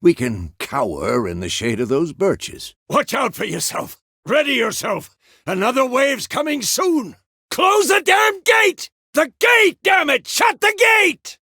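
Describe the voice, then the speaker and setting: An actor playing a gruff, stern but fatherly old monster hunter in a video game, with a gravelly voice and a terse, weary, commanding manner. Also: mid-range voice, big pitch swings, unhurried, few pauses, no echo, studio quality. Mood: urgent, commanding. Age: older. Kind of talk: dramatic game character dialogue.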